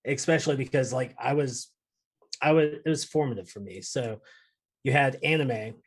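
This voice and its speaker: A man co-hosts a casual chat. His voice is middle in pitch with a husky, unclear grain. He talks in an easygoing, reflective way. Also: normal speed. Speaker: co-host of a gaming chat show.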